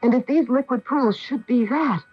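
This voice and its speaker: Anxious astronaut, husky radio-drama voice. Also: female voice, sits high, normal speed. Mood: fearful wondering. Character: anxious female astronaut, alert co-pilot and wife. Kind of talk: 1980s radio drama acting. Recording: muffled old AM radio broadcast tape.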